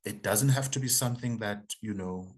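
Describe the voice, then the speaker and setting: A man's voice: on the low side, normal speed. A man in his forties with a South African accent, a native isiZulu speaker, answering interview questions as a choral conductor and composer. He speaks thoughtfully, often restarting and repeating words as he searches for them. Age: 40s.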